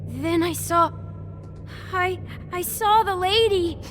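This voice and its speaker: A woman voicing a frightened, innocent little toad boy in a video game, halting and apologetic. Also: very high voice, a bit bright, a little hiss or hum, heavily acted. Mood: tearful.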